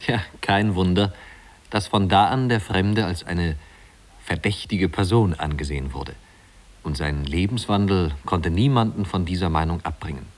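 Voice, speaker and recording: Brief: male narrator played by an actor, very low voice, wry and leisurely, looking back on small-town youth in a vintage radio play. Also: normal speed, lightly acted. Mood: wry, reminiscing. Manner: leisurely, wry, conversational storytelling. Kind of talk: 1950s German radio drama narration.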